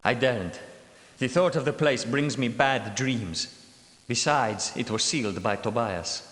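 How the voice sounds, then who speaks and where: An actor playing a wily, outwardly timid medieval knight in a video game, speaking in formal, archaic phrases with theatrical delivery. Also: male voice, mid-range voice, a bit bright, lightly acted. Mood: nervous, guarded. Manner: formal, archaic, measured diction. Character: cunning male Grail knight, outwardly timid and nervous, secretly scheming. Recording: echoey hall with long reverb.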